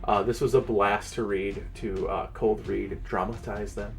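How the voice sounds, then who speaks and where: A man hosting and narrating fantasy stories as a game master, theatrical, with weighted pauses. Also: fairly low pitch, little pitch movement, gravelly, faint music behind. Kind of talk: dramatic reading and channel presenting.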